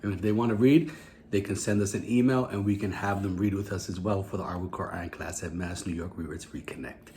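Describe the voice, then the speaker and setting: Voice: sits low, fairly even intonation, fairly quick, a crowd in the background. A religious teacher giving children a lesson in simple phrases, asking questions and prompting them to repeat. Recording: clear background noise.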